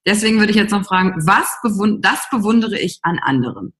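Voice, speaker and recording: A woman's voice: medium pitch, animated, fairly quick, fairly bright tone. A woman hosting a podcast, upbeat and slangy, leading the talk with questions. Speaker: podcast host. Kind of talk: casual podcast conversation.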